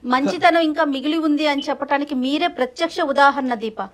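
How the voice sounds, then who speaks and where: Female co-host, high voice, extremely rapid, supportive questioning. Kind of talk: supportive interviewing.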